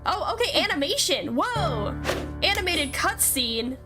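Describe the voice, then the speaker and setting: A woman streamer reading a horror visual novel aloud and reacting to it in an expressive, casually sweary way. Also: very high voice, unhurried. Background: game music.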